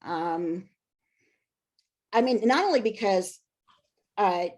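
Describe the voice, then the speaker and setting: American woman academic, mid-pitched; casual interview delivery, self-interrupting, working ideas out aloud. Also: lively intonation, slow, darkish tone. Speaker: scholar and author.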